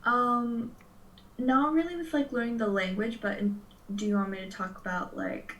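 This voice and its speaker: A woman's voice: on the high side, fairly even intonation, normal speed, a bit bright, slight background noise. A young woman from Serbia answering interview questions casually, filling her speech with "like". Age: young adult. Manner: chatty, lots of "like" fillers.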